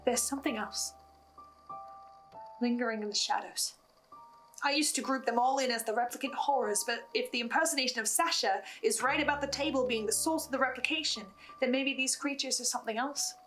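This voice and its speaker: A woman's voice: a little high, a little fast, over music. A woman hosting a commentary in a dramatic, emphatic manner, speaking to the listener as she lays out theories. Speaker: host recapping a horror audio drama. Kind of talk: theorizing commentary, scripted with asides.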